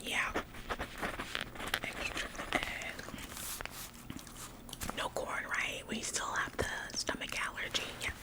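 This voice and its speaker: A woman's voice: takes it slowly, long pauses, natural voice, low-quality recording. A woman in a whispered ASMR roleplay, playing an overeager, officious office employee who acts like the boss, chirpy, fussy and politely bossy. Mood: fussy, helpful.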